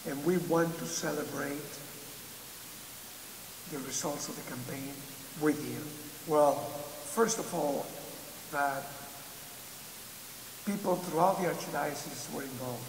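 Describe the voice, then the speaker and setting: An older man, a church leader, giving a slow, measured video message with pauses and fillers, heard as it plays on a screen in a large church. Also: on the high side. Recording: echoey church hall with hiss.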